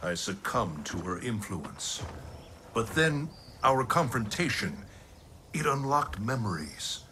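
An actor playing a solemn, commanding older thunder god in a video game, speaking with grave, formal diction. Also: male voice, lowish voice, big pitch swings, takes it slowly, bright, forceful tone, slight background noise, exaggerated voice. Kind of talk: dramatic video game cutscene acting.

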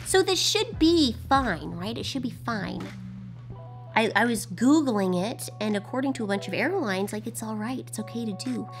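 Hoarse young female vlogger, excitable and chatty, self-filmed. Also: fairly high pitch, moderate pace, music in the background. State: hoarse from shouting. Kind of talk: casual vlog to camera. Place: hotel room, then airport. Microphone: handheld camera at arm's length.

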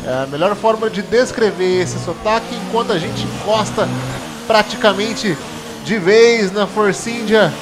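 A man's voice: high-pitched, unhurried. A man from Brazil giving casual, joking commentary while he races in a simulator game. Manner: joking, exclamatory, chatty. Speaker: gaming streamer. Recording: clear background noise from game engine.

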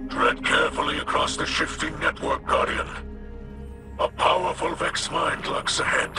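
Man acting solemn alien mystic, breathy whisper, formal and deliberate, slightly high pitch, video game, music behind. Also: level tone, natural voice. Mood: urgent warning. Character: solemn alien mystic translator, hushed and grave.

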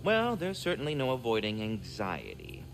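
A man's voice: on the low side, huge pitch swings, moderate pace, vocal fry, slight background noise, full character voice, low-quality recording. An actor voicing a courteous, wordy, fatherly giant squirrel with flowery formal speech in a video game. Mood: polite. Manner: verbose, formal, flowery diction. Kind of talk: theatrical video game acting.

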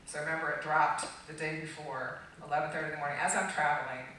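In a very echoey hall, heard from a distance, a middle-aged woman with an American accent gives a conference talk. Her voice is bright and forceful. She speaks off the cuff in an emphatic, halting way, often restarting a phrase. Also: low-pitched, fairly even intonation. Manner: halting, emphatic, with repeated false starts. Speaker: conference keynote speaker, trademark attorney. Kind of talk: public talk to an audience, unscripted. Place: large dark auditorium stage, recorded from far off. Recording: echoey hall, distant microphone, faint noise.

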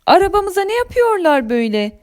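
Here is a plucky storybook kid voiced by a woman, high in pitch and eager. The child is kind and confident, with excitable, exclamatory lines. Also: normal speed. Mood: excited, alarmed.

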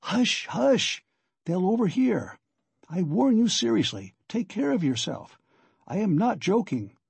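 A man's voice: high-pitched, very animated, unhurried, creaky, darkish tone, breathy edge, no room sound, voice pushed up, heavily acted. Man playing a sly, teasing examining lawyer, raised voice. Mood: stern, urgent. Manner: ironical, good-humored teasing with chuckles and "eh?". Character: sly, playful, mocking male examining lawyer. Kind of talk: dramatic audiobook character reading.

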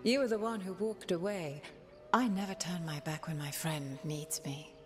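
A woman playing a calm, wise elven leader in a fantasy game, speaking in a composed, formal and reassuring way. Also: fairly low pitch, normal speed, lightly acted. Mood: calm resolve. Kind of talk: dramatic fantasy game acting. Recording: music clearly behind the voice. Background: cinematic music.

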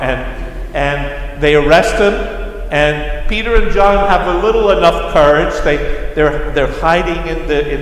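Slow Brooklyn-accented priest in echoey church. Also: male voice, fairly high pitch. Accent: Brooklyn with a slight Irish lilt. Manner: storytelling, acting out voices, self-deprecating asides. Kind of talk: sermon. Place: large church.

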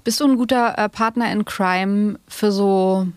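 A woman's voice: mid-range voice, steady tone, measured pace, no echo, studio quality. Conversational woman hosting a podcast interview. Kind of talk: conversational interview with long, personal questions.

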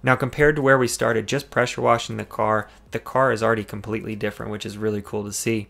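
A man talks quickly through a car detailing job, walking viewers step by step through the wash and offering tips. His voice has a gravelly edge. Faint music plays underneath. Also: fairly low pitch, little pitch movement, a bit bright. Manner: explanatory, tip-giving. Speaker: car detailing channel host. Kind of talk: instructional voice-over narration.

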